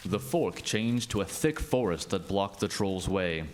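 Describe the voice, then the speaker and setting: A man's voice: sits low, expressive, a little slow. A man narrating a scripted folk tale as a storyteller.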